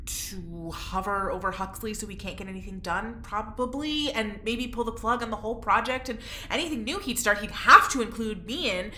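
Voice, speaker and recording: An actress playing a wry, anxious, plain-spoken test subject, casual and skeptical, in a naturalistic audio drama. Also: female voice, medium pitch, normal speed, hardly any pauses, bright, forceful tone, echoey room, a little hiss or hum. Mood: skeptical, uneasy.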